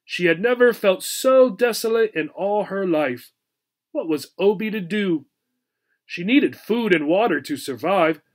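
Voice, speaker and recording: A man reading a children's book aloud as a teacher, in an expressive storybook narration style. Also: high-pitched, measured pace.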